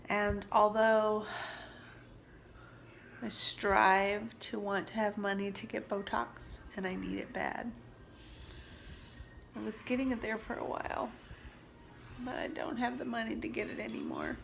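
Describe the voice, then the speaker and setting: A woman in her forties, stuffed up from allergies, talking softly and confidingly in a personal vlog, sometimes dropping to near-whispers. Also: medium pitch, a little slow, vocal fry, pauses often, slight background noise. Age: 40s. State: congested from allergies. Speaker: vlogger. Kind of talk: reflective personal vlog talk. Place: sitting indoors at home.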